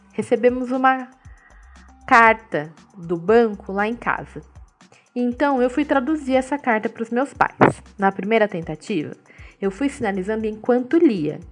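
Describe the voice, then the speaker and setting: Woman speaking in measured, steady tones. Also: a little high. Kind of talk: interview answers voiced in translation.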